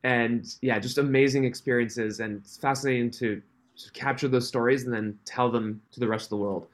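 A man in his thirties, raised in Switzerland, with a mixed, faintly Canadian accent, chatting as a podcast guest, friendly and quick to laugh. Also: mid-range voice, fairly even intonation. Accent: mixed, Canadian-tinged. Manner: friendly, laughs often, restarts phrases. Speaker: podcast guest, company founder. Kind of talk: casual interview chat.

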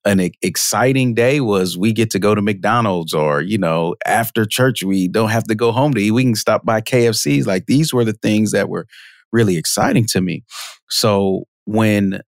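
Casting: low, gravelly male guest, animated storyteller, dry close mic. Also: moderate pace, no room sound. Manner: lively storyteller, jumps high for quoted reactions, laughs often. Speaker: podcast guest, marketing automation expert. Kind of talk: casual interview storytelling.

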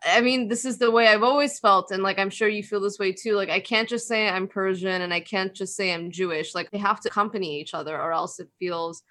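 A woman's voice: mid-range voice, fairly even intonation, fairly quick, hardly any pauses, fairly bright tone. A woman chatting casually, rambling with lots of filler words. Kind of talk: casual conversation.

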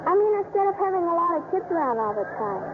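A woman's voice: very high-pitched, sing-song, fast. An actress playing an earnest, innocent eight-year-old orphan girl in a 1950s radio drama. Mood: earnest, polite. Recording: muffled, noisy old radio broadcast.

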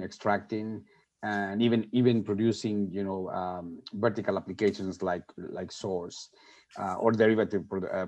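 A man from Mexico, a company executive answering interview questions in a halting way, with frequent restarts and fillers. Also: on the low side, dull audio.

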